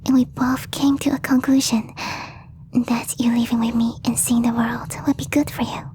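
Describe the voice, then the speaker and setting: A woman voicing a possessive, lovestruck alien girl in a breathy whisper, with slow, stiffly formal phrasing for an ASMR roleplay. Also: a little high, flat delivery, mellow, a little hiss or hum, lightly acted. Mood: longing, possessive. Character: possessive lovestruck alien girl, stilted speech, shyly obsessive.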